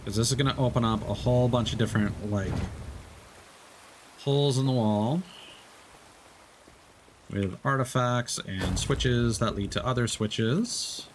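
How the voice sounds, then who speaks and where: A man's voice: medium pitch, a little slow, pauses often, background noise. A man doing casual live gameplay commentary, chatty and thinking aloud. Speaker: game streamer.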